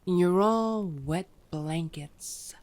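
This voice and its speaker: Slow, sardonic female vampire played by a woman. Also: fairly low pitch, darkish tone. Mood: teasing, sarcastic. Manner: sarcastic, exasperated, casually profane. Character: sardonic, teasing female vampire, wounded and grumbling. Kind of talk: ASMR roleplay acting.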